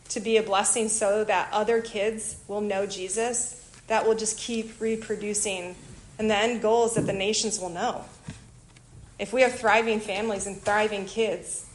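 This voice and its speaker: A woman from Illinois with a Chicago accent, giving a chatty, animated church talk to a group about a missionary kids program. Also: mid-range voice, a little hiss or hum, low-quality recording. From Illinois, USA. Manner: chatty, animated, self-deprecating asides. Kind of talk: informal church presentation to a group.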